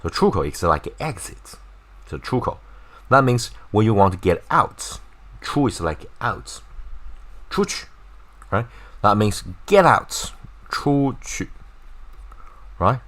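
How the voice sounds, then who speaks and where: A man's voice: fairly low pitch, big pitch swings, slow pace, long pauses, a bit bright. A man from China with a Chinese accent, a native Chinese speaker, teaching an informal language lesson and repeating words to demonstrate pronunciation.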